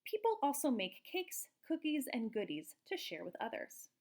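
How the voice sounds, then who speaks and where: A woman reading a picture book aloud to young children in an expressive, explanatory way, like a teacher. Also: sits high, moderate pace. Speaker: children's storybook reader, teacher.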